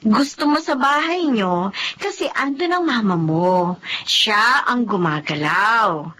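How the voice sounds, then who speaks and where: A woman's voice: fairly high pitch, a bit bright, exaggerated voice. A woman with a Filipino accent playing a blunt, admonishing confidante in a radio drama. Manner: emphatic, admonishing. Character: blunt, scolding female confidante lecturing a young wife.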